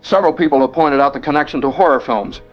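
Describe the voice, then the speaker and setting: A man's voice: a little high, quick pace, lightly acted. A man playing a radio interviewer in a drama, asking an expert probing questions steadily. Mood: steady, inquiring. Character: composed male radio host questioning an expert. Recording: dull lo-fi radio sound with faint music.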